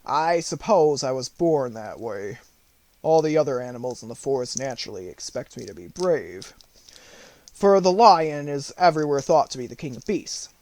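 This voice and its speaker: A man's voice: medium pitch, lively intonation, normal speed, a little mellow, natural voice. A man voicing a big cowardly lion in a storybook, mournful and self-pitying as he speaks. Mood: sad, confessing. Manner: sorrowful, confessing.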